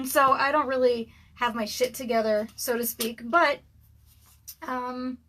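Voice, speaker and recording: A woman in her thirties with a scratchy voice, rambling casually on a livestream from her dark room at home, with lots of ums and little laughs. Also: sits high, slow pace. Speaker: author and livestream host. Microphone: webcam livestream.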